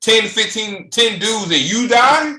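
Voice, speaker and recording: A man's voice: lively intonation, slow, bright, forceful tone. Emphatic man in an echoey room. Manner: emphatic, rising pitch when making a point. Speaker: podcast host. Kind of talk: casual interview chat.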